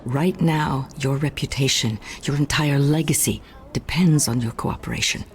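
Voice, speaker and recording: An actress playing a composed, businesslike corporate chairwoman with a measured, formal delivery. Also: rumbling low, a little hiss or hum. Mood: urgent. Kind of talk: video game voice acting.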